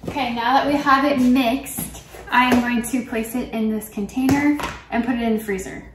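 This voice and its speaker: A woman's voice: a little high, steady tone, normal speed, noisy background. A young woman in her twenties casually explaining each step while she cooks in her home kitchen, filmed by a camera a few feet away. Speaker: cooking vlogger. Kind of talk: casual how-to narration. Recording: echoey kitchen with music behind.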